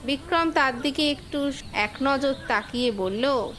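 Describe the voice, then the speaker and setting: A woman's voice: high voice, unhurried, fairly bright tone. A woman giving scripted narration for a cartoon fable as the storyteller.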